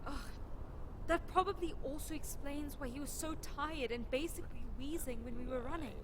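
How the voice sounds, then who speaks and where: A woman's voice: sits high, normal speed, background noise, lightly acted. A woman playing a stern, protective wasteland rescuer in an audio drama, firm, matter-of-fact and easily exasperated. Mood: alarmed, exasperated.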